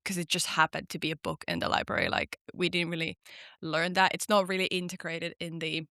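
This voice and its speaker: A bright, crisp-voiced young woman from Finland chats as a podcast guest. She talks casually and leans on frequent "like" fillers as she tells her story. Her voice sits a little low and the recording is close and dry. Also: brisk, dry recording. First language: Finnish. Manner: chatty, many "like" fillers, lively storytelling. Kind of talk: casual interview chat.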